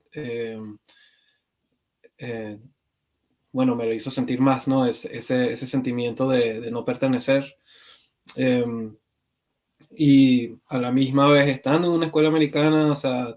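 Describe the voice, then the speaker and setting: A man's voice: mid-range voice, darkish tone. A man in his thirties from Venezuela, a podcast guest who talks reflectively, with many fillers and restarts. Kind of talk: conversational interview.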